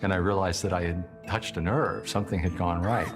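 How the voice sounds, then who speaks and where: Very deep-voiced man recalling his work. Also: steady tone, brisk, over music. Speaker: voice actor being interviewed. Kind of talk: interview recollection.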